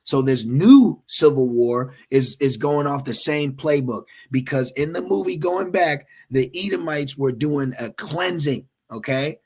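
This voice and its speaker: A man's voice: fairly high pitch, unhurried, creaky, hardly any pauses, darkish tone, breathy edge. A man preaching in an emphatic, repetitive way, with frequent fillers and checks like "okay?". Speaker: religious teacher. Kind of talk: preaching commentary.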